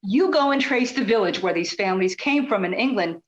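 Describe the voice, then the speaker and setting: A woman playing an inquisitive, persistent journalist in a radio play, probing and brisk. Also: mid-range voice, animated, lo-fi sound, lightly acted. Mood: argumentative, determined.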